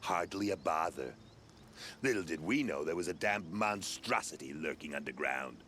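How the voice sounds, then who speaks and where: Game role: bitter mercenary boss, low voice, sardonic folksy grumbler, noisy backdrop. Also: male voice, animated, moderate pace, a bit bright. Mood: bitter, rueful. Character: grumbling, sarcastic mercenary crew leader, bitter survivor. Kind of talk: video game cutscene dialogue acting.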